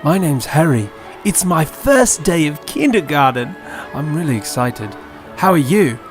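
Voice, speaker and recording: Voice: medium pitch, very animated, unhurried, faint music behind, voice pushed down. A performer voicing a meek, earnest young boy with a hesitant, apologetic manner in a comic audio story. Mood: shy, friendly.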